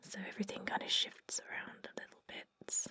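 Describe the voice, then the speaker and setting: A woman playing a soothing, sly vampire dentist in an ASMR roleplay, speaking gently and deliberately in a breathy whisper. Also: natural voice. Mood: soothing, focused. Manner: slow, gentle, deliberate, softly reassuring.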